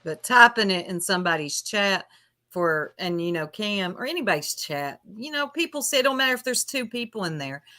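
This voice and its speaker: A woman's voice: medium pitch, lively intonation, fairly quick, pushed and bright, no echo. A woman in casual panel chat, talking in a plain, colloquial way. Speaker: panelist on a livestream open panel.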